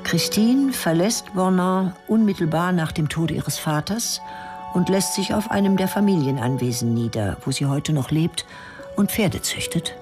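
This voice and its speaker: A woman's voice: fairly low pitch, animated, moderate pace. A woman narrating a radio drama in a terse, clipped, matter-of-fact way. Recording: studio radio play with music.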